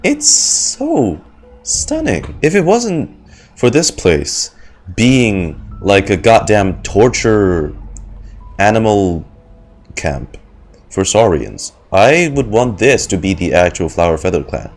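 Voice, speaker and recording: Low voiced dude reacting to a game, casual and exclamatory, swings up and down, soft game music. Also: male voice, big pitch swings, slow. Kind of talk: casual gameplay commentary.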